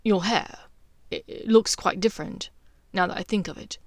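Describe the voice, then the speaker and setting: A woman's voice: medium pitch, moderate pace, creaky, no echo. A woman voicing a middle-aged aristocratic husband in a storybook, pompous and awkwardly amorous, with drawled innuendo.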